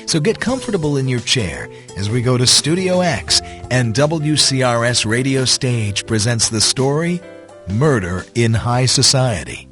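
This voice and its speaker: A man's voice: medium pitch, expressive, unhurried. A man announcing a radio drama in an old-fashioned show style. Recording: loud music under the voice.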